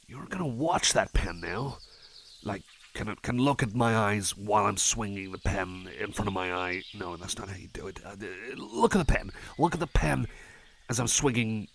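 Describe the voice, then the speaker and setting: A man's voice: on the low side, expressive, moderate pace, slight background noise. A man playing a shy, friendly cyborg chum in an ASMR roleplay, talking in a hesitant, stammering, rambling way. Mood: gentle, coaxing. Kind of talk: personal attention ASMR roleplay.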